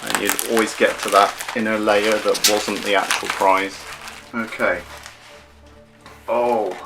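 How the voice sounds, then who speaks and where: A man giving a casual close-up unboxing commentary as a prop collector, thinking aloud with hesitant pauses. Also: fairly low pitch, level tone, music in the background, poor audio.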